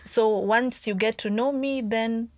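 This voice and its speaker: A woman's voice: fairly high pitch, unhurried, darkish tone. A young adult woman, chatting candidly and assuredly as a podcast guest, laughing often. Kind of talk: casual chat.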